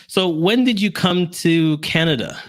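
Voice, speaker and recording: A man hosting a talk show in an upbeat, casual, animated conversational way. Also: sits high, a little slow. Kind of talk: upbeat casual interview chat.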